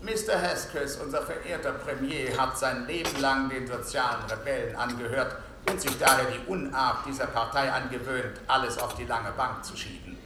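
Man acting a high-pitched, bombastic political demagogue. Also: close to an everyday voice. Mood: scornful, rhetorical. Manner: pompous, theatrical, rhetorical. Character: bombastic British opposition leader, sly populist demagogue. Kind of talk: political oration in a German radio play. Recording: echoey hall sound with slight noise.

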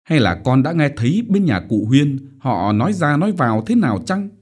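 A man voicing a doting, worried middle-aged mother who gently coaxes her daughter. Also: medium pitch, big pitch swings, moderate pace, breathy edge, voice pushed up. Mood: coaxing worried.

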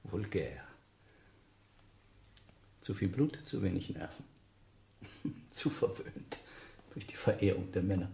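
Brooding, self-important scholar, echoey. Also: male voice, sits low, very animated, creaky, dark tone, heavily acted. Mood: disdainful, brooding. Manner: deliberate, theatrical, dismissive. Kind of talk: German radio play monologue.

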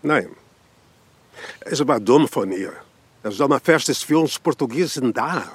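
Husky, stern old grandfather. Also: medium pitch, expressive, unhurried, no room sound. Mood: proud, firm. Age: older. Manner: curt, authoritative. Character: stern, proud old Portuguese immigrant grandfather, defensive and commanding. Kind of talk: radio crime drama acting.

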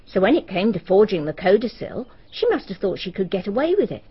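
An actress playing a chatty, gossipy village woman in a BBC radio drama, telling stories in a brisk, knowing manner. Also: mid-range voice, big pitch swings, slightly rough. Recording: dull archive radio recording.

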